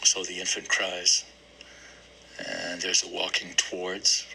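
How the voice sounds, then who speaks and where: Analytical clinician for a therapy play, read by a man: deliberate, probing, bright forceful tone, faint noise. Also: low voice, monotone, creaky, full character voice, poor audio. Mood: measured, analytical. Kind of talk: dramatic play reading.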